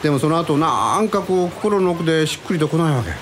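A man's voice: a little high, expressive, fast, slight background noise, natural voice. An actor playing an easygoing, wistful man in a radio drama, speaking casually and reflectively. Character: easygoing, wistful man confiding about lost love. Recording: music behind the voice.

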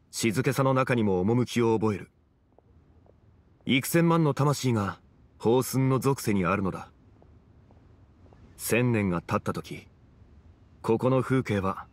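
An actor playing a calm, refined, scholarly gentleman in an anime game, speaking in a measured, formal and eloquent way. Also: mid-range voice, close to an everyday voice. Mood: contemplative. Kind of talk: Japanese anime game voice acting.

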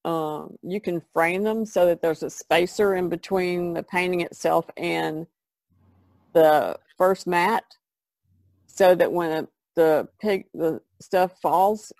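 A woman's voice: lowish voice, steady tone, slow, vocal fry, a little mellow, no echo. A woman artist chatting casually as a podcast regular, explaining things informally. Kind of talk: casual chat, explaining art materials.

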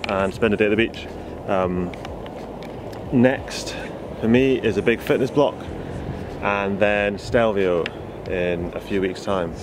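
A man's voice: lowish voice, background noise. A young man vlogging casually about his ski training, chatty and dry, filmed on a handheld camera at arm's length. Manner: chatty, dry and self-deprecating. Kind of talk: casual vlog. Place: indoor ski hall and outdoors by a van and beach. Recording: music playing underneath.

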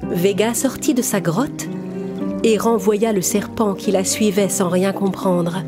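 Lady reading a kids cartoon story, steady voice, music loud behind. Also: mid-range voice, unhurried. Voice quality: clear, even storytelling. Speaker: narrator. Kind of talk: scripted storybook narration.

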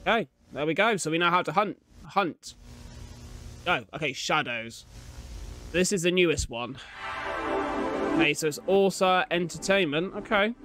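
A man's voice: on the high side, lively intonation, normal speed, long pauses. A man with a British accent giving chatty, casual reaction commentary into a close desktop microphone, with colloquial tag questions. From United Kingdom. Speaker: reaction video host. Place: small indoor room. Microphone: desktop condenser microphone, close. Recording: loud music behind. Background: music from the video being watched.

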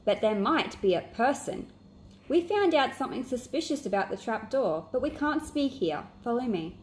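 A woman's voice: on the high side, lively intonation, moderate pace, pitched above the performer's own voice, natural voice. A woman voicing a sceptical, serious boy who leads a children's detective club in a storybook. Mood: urgent.

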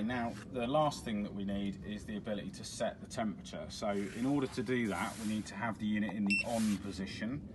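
Plain-spoken man demonstrating a controller. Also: fairly low pitch. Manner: plain, step-by-step, matter-of-fact explaining. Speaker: product demonstrator. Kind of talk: hands-on product walkthrough. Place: indoors at a table with a demo unit. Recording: noticeable background noise.

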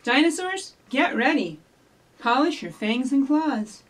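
A middle-aged woman hosting a children's storytime, giving simple instructions and reading rhymes to young children in an animated way, recorded by a camera a few feet away. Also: high voice, a little slow. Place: small indoor office or library room with block walls. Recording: distant camera microphone.

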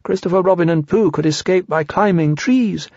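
A man's voice: sits high, normal speed, darkish tone, no echo, voice pushed up. A man voicing a very small, timid male piglet who frets anxiously. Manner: anxious, fretful, listing worries. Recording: dull lo-fi audiobook recording.

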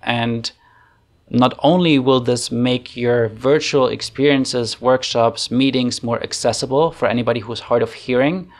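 A man in his thirties with a German accent, chatting casually through a screen-share software tutorial from a room at home into a desk microphone, thinking aloud with fillers. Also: medium pitch, fairly even intonation, unhurried. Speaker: online facilitation trainer. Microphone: desk microphone on arm.

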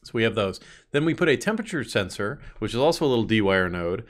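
A man's voice: fairly low pitch, very animated, quick pace. A man in his thirties giving an orderly, explanatory product walkthrough as a company presenter.

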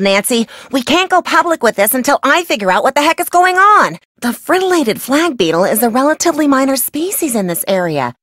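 A woman voicing an eager, quirky, chatty young insect scientist in a video game, excitable and easily sidetracked. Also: high voice, no echo, studio quality, full character voice. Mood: intrigued. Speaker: game character, field entomologist. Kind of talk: animated video game voice acting.

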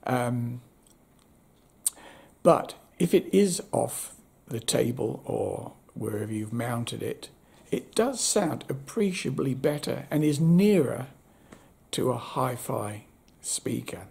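A man in his seventies giving a casual, unscripted review with wry understatement, in a husky voice. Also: medium pitch, animated, takes it slowly, a little mellow. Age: 70s. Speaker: audio equipment reviewer. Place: indoor room at home.

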